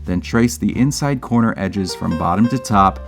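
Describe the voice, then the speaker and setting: A man's voice: fairly low pitch, moderate pace, soft background music. A man hosting a DIY show, narrating a scripted tutorial in an upbeat, playful way with chatty asides. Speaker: host of a DIY creator show.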